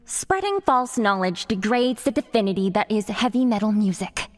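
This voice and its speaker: A woman's voice: medium pitch, lively intonation, normal speed, lightly acted. A woman playing a playful, sadistic young metalhead villainess in a horror audio drama, theatrical, taunting and foul-mouthed. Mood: taunting menace.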